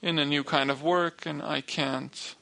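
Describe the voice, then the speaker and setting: A man giving a spoken spiritual lesson to a group in a halting way, often pausing mid-sentence. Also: fairly high pitch, steady tone, creaky, sharp tone, low-quality recording. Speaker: spiritual teacher.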